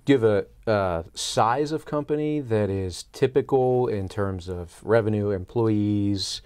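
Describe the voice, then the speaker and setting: A man's voice: fairly low pitch, measured pace, a little mellow, clean tone. A man in his thirties hosting an interview, phrasing his questions in a tentative, searching, conversational way. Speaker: podcast host, interviewer. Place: small indoor podcast studio.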